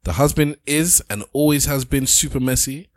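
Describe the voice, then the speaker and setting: A man's voice: medium pitch, moderate pace, dry recording. Dry-voiced male podcast host. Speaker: podcast host reading listener questions. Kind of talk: casual panel chat.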